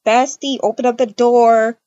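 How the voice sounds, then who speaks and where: A woman's voice: a little high, steady tone, unhurried, warm tone, dry recording, lo-fi sound. A woman speaking casually as she improvises a game roleplay and chats to viewers. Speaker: video creator acting out a roleplay.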